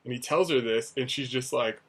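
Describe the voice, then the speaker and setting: A young man talks loosely straight to camera, a teacher sharing stories in a casual vlog. His pitch sits right in the middle of a man's range, and he rambles along full of "like" and "you know", circling back on himself. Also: brisk, fairly bright tone. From United States. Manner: rambling, conversational, many fillers. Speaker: English teacher in Asia. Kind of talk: casual talking-head vlog.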